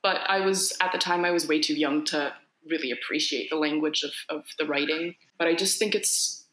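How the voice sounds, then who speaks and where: A bright, forceful-voiced woman from Finland talks loosely as an interview guest, circling back and restarting her thoughts as she goes. Her voice rings out in a noticeably roomy, echoey space. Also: low-pitched, fairly even intonation, normal speed. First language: Finnish. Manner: rambling, many restarts and fillers. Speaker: actor guest. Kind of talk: casual interview chat.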